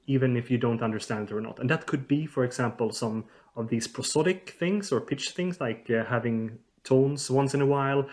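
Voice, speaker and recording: A man's voice: mid-range voice, a little mellow. A man from southern Sweden, a linguist guest, giving thoughtful, explanatory interview answers with frequent hesitations. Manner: thoughtful, hesitant with many fillers.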